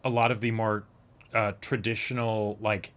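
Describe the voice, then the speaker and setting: A man's voice: fairly low pitch, level tone, normal speed, fairly bright tone. A man co-hosting a reaction show, discussing an anime episode in a casual, conversational style.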